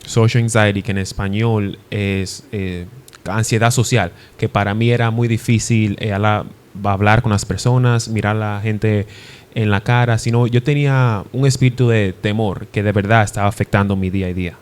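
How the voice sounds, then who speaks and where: A man's voice: lowish voice, fairly even intonation, moderate pace, smooth. A young man from the Dominican Republic, a guest preacher giving his testimony in an interview, earnest and hesitant with restarts. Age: young adult.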